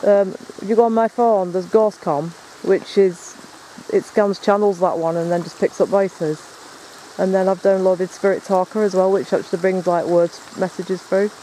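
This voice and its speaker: Chatty man, high and mellow. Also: flat delivery, moderate pace, a little hiss or hum, low-quality recording. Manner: chatty, rambling, explains as he goes. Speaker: paranormal investigator filming. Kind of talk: casual on-location chat. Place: woods by a stream at night, in rain.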